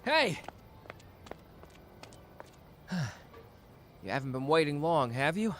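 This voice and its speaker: A man playing a warm, protective young man greeting his partner in an ASMR roleplay. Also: a little high, unhurried, a little hiss or hum. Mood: warm relieved. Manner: warm, lively, laughs easily.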